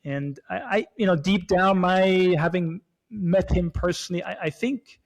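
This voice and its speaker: A man in his forties from Switzerland, chatting as a guest, talking haltingly with many restarts and fillers. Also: sits high. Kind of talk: casual chat.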